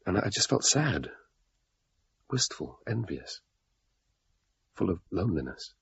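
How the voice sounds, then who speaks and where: A tormented middle-aged British father in a radio drama. His voice is extremely low, gravelly and very rough, halting and wistful, on a slightly dull recording. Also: big pitch swings, a bit bright, heavily acted. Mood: wistful, confessional. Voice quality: gravelly, husky. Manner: halting, brooding, intense.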